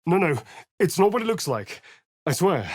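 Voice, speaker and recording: An actor playing a sly, vain vampire spawn rogue in a video game, speaking in an arch, theatrical drawl. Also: male voice, high-pitched, big pitch swings, moderate pace, a little mellow, no echo, clean studio sound. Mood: coy, scheming. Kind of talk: video game character voice acting.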